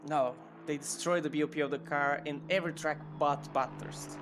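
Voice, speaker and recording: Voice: mid-range voice, normal speed, noisy background. A co-commentator chatting casually during an online race broadcast, joking and laughing often. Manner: playful, laughs often, lively swooping exclamations.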